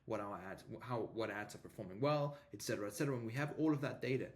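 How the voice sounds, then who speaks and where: A man's voice: mid-range voice, very fast, a little mellow. A man giving an informal tutorial as a marketing coach, explaining things with frequent filler phrases and repetition. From Denmark. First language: Danish.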